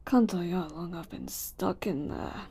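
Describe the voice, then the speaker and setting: A woman's voice: fairly low pitch, vocal fry, husky, full character voice. A woman voicing an ancient genie of the lamp in a roleplay audio, weary yet playful, moving between grand servitude and casual sass. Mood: relieved. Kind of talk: roleplay audio acting addressed to the listener.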